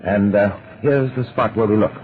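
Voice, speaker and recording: An actor playing a suave, commanding big-city detective with an urbane, dry manner, in 1940s radio drama style. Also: male voice, fairly low pitch, normal speed, natural voice. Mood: purposeful. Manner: urbane, dry, crisply authoritative. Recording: tinny, narrowband old radio recording.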